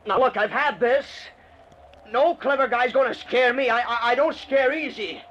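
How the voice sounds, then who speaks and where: An actor playing a jittery stranded husband putting on bravado, stammering and excitable, in an old-time radio drama. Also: male voice, very high voice, normal speed. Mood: nervous defiance. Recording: muffled, noisy old radio recording.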